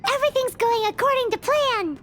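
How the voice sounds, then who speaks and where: A woman voicing a tiny, cheeky and cheerful childlike fairy companion in a video game, with a squeaky voice. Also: brisk, fairly bright tone, a little hiss or hum. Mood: excited. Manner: bubbly, refers to herself in third person. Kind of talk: video game character acting. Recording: music behind the voice. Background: game music.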